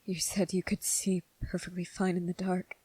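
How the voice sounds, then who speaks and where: A woman voicing a gentle, earnest young male soldier in a soft-spoken, halting ASMR roleplay. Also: on the low side, little pitch movement, breathy edge, rough recording. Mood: nervous, hushed. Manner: hesitant, halting, repeating phrases, earnest.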